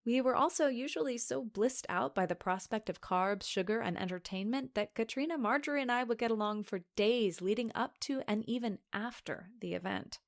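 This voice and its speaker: A woman's voice: a little high, normal speed, hardly any pauses, natural voice. An actress playing a sardonic, anxious young woman who narrates a confessional podcast drama in a conversational, sweary way. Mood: wry, nostalgic. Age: young adult. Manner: wry, sarcastic, sweary. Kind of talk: confessional scripted monologue, conversational.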